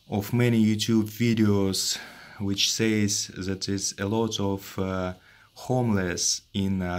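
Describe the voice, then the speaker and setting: Young Russian-accented man, low voice, slow halting delivery, vlog storytelling. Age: 20s. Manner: halting, with many fillers and repeated words. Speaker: vlogger. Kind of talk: personal story to camera.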